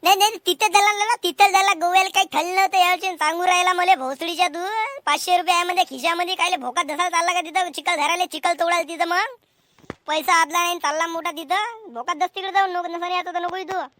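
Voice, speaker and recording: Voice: very high voice, steady tone, bright, forceful tone, dry recording. A voice actor doing a rowdy, foul-mouthed cartoon village guy. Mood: scolding. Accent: Vidarbhi Marathi. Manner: rapid, scolding, abusive banter. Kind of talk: cartoon comedy voice acting.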